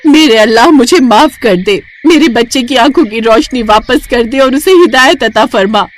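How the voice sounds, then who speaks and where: A woman's voice: on the high side, animated, moderate pace, pitched above the performer's own voice, lightly acted. A woman playing a poor, devout mother in a children's story, emphatic and dramatic as she scolds or pleads. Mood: anguished pleading. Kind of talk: children's animated moral story acting.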